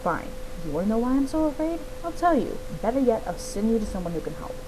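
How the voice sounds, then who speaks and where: A woman's voice: on the high side, very animated, fast, voice pushed up. A secretive, ill-tempered witch from a fantasy game, acted out by a woman. She is theatrical and scornful, brushing people off, with obvious noise on the home recording. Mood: grudging, irritated. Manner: cagey, dismissive, theatrical. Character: grumpy, secretive witch who scorns meddling young riders. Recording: clearly noisy home recording.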